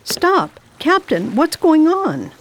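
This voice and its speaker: A woman's voice: sits high, huge pitch swings, slow pace, slight background noise, exaggerated voice. A woman playing a genteel, determined lady traveller of 1910 in a radio drama, speaking with polite composure. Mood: concerned, brisk.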